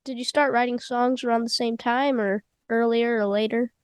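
A woman's voice: a little high, fairly even intonation, normal speed, smooth, dry recording. A woman hosting an interview podcast, sounding nasal from a cold and asking easygoing questions. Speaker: podcast host.

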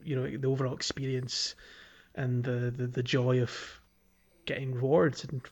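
A man co-hosting a casual gaming podcast, talking in a thoughtful, halting way on a slightly dull, lo-fi recording. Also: mid-range voice, little pitch movement. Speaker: podcast co-host.

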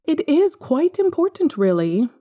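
A woman's voice: high voice, sing-song, a little slow, warm tone, voice pushed up. A woman voicing a fussy, polite male boss in a formal, effusive way for a story reading. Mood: insistent.

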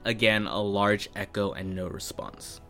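Slow, low-voiced male game master. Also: steady tone, fairly bright tone. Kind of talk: improvised tabletop game narration. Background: faint background music.

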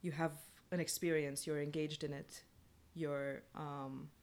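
A woman's voice: low voice, level tone, a little slow, darkish tone. A woman chatting casually as a playwright guest on a panel, explaining things informally in short phrases.

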